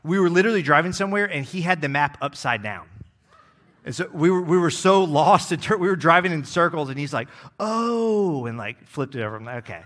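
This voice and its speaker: A young man preaching a sermon as executive pastor, relaxed and joking, with many fillers. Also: a little high, fairly quick. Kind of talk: casual sermon. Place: church.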